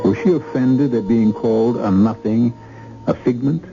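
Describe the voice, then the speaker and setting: A man hosting a 1970s radio drama with measured, literary, suspenseful narration. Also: medium pitch, creaky. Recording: muffled vintage radio recording. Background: music.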